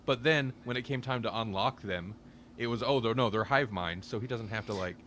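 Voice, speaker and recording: A man's voice: mid-range voice, brisk, fairly bright tone, slight background noise. A man co-hosting a podcast, talking through a casual review in a hedging, think-aloud way. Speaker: podcast co-host.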